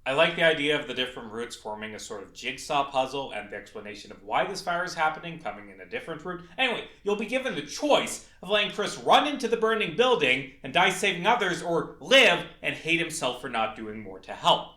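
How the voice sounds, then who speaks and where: Male narrator for scripted video essay. Lively, swinging intonation, forceful and dramatic, recorded in a reverberant room. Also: fairly high pitch, a little fast, few pauses. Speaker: indie game creator presenting a project.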